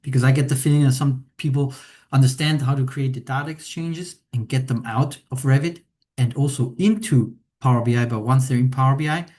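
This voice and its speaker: Chilean-born man giving a software webinar. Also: mid-range voice, fairly quick. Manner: hesitant, many fillers, tag questions. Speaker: implementation consultant presenting a webinar. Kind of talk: presentation walkthrough.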